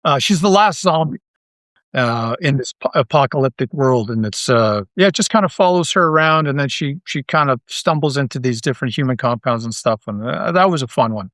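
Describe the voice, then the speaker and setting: A man's voice: mid-range voice, animated, brisk, fairly bright tone, no echo, studio quality. A man talking casually as a podcast guest, rambling along with enthusiasm. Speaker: podcast guest, audio drama creator. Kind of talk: casual interview chat.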